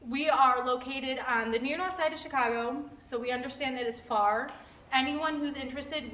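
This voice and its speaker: A woman's voice: high-pitched, fairly bright tone. A woman giving an informal, earnest presentation to a group, explaining as a company representative. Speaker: clinical trial company representative. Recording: echoey room, distant mic, background noise.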